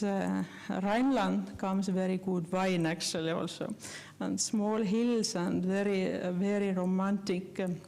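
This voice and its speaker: A woman giving a slide lecture in English, which is not her first language, talking earnestly and haltingly with many fillers and restarts. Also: medium pitch, vocal fry, mellow. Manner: earnest, personal.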